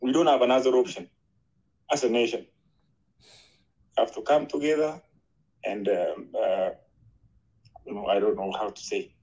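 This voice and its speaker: Hesitant caller from Ethiopia. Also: male voice, on the low side, moderate pace, long pauses, a little mellow, rough recording. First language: not English. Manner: hesitant, searching for words, many fillers. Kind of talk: live call-in.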